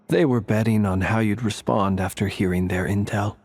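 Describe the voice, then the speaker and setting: An actor playing a calm, matter-of-fact strategist in a video game, with a dry, composed way of talking. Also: male voice, lowish voice, moderate pace, a little hiss or hum, close to an everyday voice. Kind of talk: video game English dub acting.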